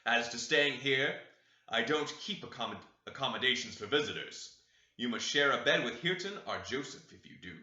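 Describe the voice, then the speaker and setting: A man's voice: medium pitch, expressive, normal speed, pushed and bright. Heathcliff, a stern morose master, read by a man. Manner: stern, cold delivery. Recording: dull, roomy home recording.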